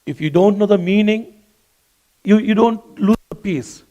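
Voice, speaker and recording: Man preaching, English not his first language, high voice, unhurried, earnest, repeats phrases. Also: mellow, dull audio. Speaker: pastor. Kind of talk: sermon.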